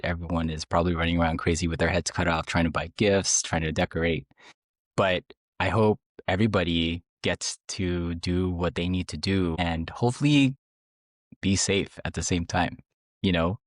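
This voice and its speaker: A man's voice: sits low, steady tone, normal speed, no echo, studio quality. A man from the Philippines, co-hosting a podcast in a chatty, easygoing way and laughing easily. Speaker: podcast co-host. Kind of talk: casual chat.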